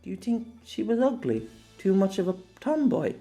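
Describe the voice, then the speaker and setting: A man voicing a gentle, sad young woman who talks softly and earnestly. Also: high-pitched, big pitch swings, moderate pace, faint music behind, voice pushed up. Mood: wistful.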